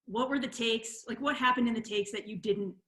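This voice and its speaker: A woman's voice: fairly high pitch, brisk, bright, forceful tone. Woman moderator in an echoey room. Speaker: moderator, interviewer. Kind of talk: moderated cast Q&A. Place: remote video call, echoey room. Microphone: video call. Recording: echoey video call audio.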